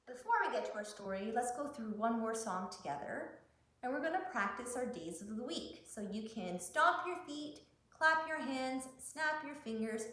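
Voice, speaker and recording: A woman's voice: on the high side, pushed and bright, roomy sound, slight background noise. A woman hosting a children's story time, reading picture books and talking in simple, encouraging words to young listeners. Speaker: library story-time host.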